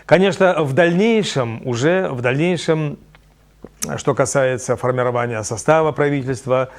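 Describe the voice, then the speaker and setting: Hesitant man in a studio discussion. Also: medium pitch. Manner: measured, frequent hesitation fillers. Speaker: studio guest. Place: television studio.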